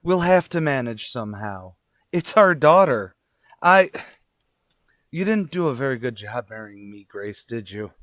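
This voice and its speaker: A man acting in an old-time radio drama as a desperate, earnest father looking for work, speaking in a halting, pleading way. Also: medium pitch, big pitch swings, a bit bright, no room sound, studio quality. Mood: anguished. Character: desperate, earnest, down-on-his-luck young father seeking work.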